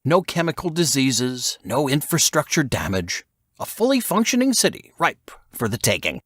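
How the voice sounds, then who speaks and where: A man's voice: medium pitch, very animated, normal speed, fairly bright tone, no room sound, studio quality, voice pushed up. A man voicing an irritable, stuffy, intense intelligence agent in an audiobook, with a sneering, emphatic manner. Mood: intense, covetous.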